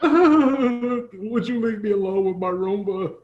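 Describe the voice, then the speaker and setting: A man playing a hapless, sheepish everyman in improvised comedy, awkward and halting, recorded with a roomy, dull sound. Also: sits high, lively intonation, voice pushed up. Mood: needy. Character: hapless, sheepish dead everyman named John.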